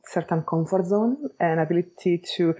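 A woman in her forties with an Italian accent, giving thoughtful, measured answers as an interview guest. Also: lowish voice, warm tone, husky, highs cut off. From Italy, Bologna. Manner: thoughtful, measured, searching for words. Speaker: architect and educator, guest.

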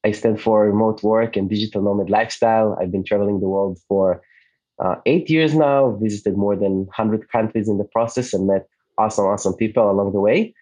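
A man's voice: on the low side, brisk, dull audio. A man in his late thirties from Israel, a native Hebrew speaker, chatting casually as an interview guest with frequent restarts. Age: 30s. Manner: relaxed, restarts often, light laughs. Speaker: podcast guest, digital nomad.

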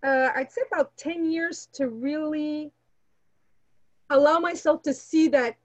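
A woman's voice: high-pitched, unhurried. A middle-aged woman from Canada whose mother tongue is Portuguese, talking candidly and reflectively as a podcast guest, on a roomy, slightly dull microphone. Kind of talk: candid personal conversation.

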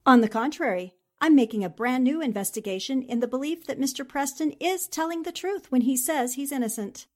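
A high female voice from an actress plays a principled newspaperwoman in a forties radio drama. She is articulate and courteous, with a firm, assertive way of pressing her questions. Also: a little fast. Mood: polite, probing. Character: determined, principled newspaperwoman investigating a murder case. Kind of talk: 1940s radio drama acting.

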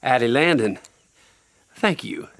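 A man's voice: medium pitch, animated, takes it slowly, fairly bright tone. Flirtatious Southern country man. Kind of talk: old-time radio drama acting.

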